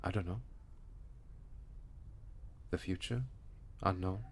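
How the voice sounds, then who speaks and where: An actor playing a reserved man gently ending a long love affair in an audio drama, hesitant and restrained. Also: sits low, fairly even intonation, slow pace, husky, heavily acted. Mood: awkward, subdued.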